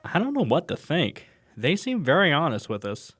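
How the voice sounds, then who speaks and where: An actor playing an earnest, trusting young detective's sidekick in an audio drama, hesitant and questioning. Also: male voice, on the high side, huge pitch swings, moderate pace, fairly bright tone, soft background music, exaggerated voice. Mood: puzzled. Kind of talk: scripted audio drama acting.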